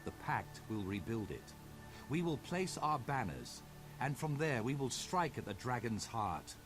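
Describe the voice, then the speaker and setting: An actor playing a solemn male war commander in a video game, speaking in a grave, rousing and measured way. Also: medium pitch, sing-song. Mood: solemn resolve. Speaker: game character, military leader. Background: orchestral game music.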